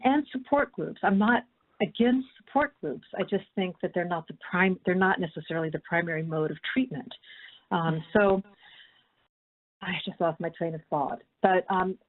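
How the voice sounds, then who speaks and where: A woman filmmaker speaking earnestly and haltingly, unscripted, on a panel call. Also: mid-range voice. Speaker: documentary filmmaker. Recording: muffled narrow-band conference call line.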